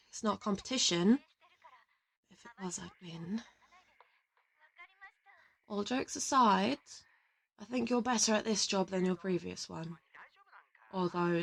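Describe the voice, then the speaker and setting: A woman playing a confident, teasing interrogator from an assassin team in an audio roleplay, affectionate and boastful. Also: medium pitch. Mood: playful, then complimenting. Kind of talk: audio roleplay addressed to the listener.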